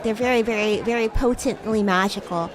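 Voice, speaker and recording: A woman livestreaming in character as a bratty, playful young demon classmate, chatting in a giggly way with drawn-out, hesitant words. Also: a little high, a crowd in the background, low-quality recording. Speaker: VTuber streamer.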